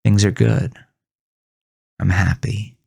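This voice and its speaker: A man playing a haunted, bitter farmer who narrates his horror story in a grave, brooding, gravelly voice. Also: on the low side, fairly even intonation, slow pace, mellow, heavily acted. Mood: wistful, calmer. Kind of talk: scripted first-person horror narration.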